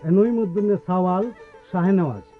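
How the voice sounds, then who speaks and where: An actor playing a deferential, coaxing middle-aged village in-law in a radio drama, speaking with a rural Bangladeshi accent. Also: male voice, sits high, a little fast, natural voice. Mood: humble, persuasive. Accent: rural Bangladeshi Bengali. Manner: polite, coaxing, folksy. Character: deferential, persuasive village in-law seeking a favour. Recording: tinny narrow-band radio recording with music.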